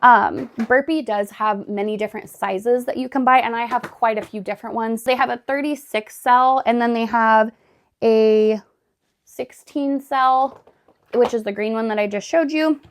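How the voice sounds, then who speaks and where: A woman vlogging casually at home, still hoarse and raspy after being sick, chatting with lots of "like" and "really" as she sorts gardening supplies. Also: on the high side, normal speed, slight background noise. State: hoarse from recent illness. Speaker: homestead vlogger. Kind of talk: casual vlog chat. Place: indoors at home, sorting gardening totes.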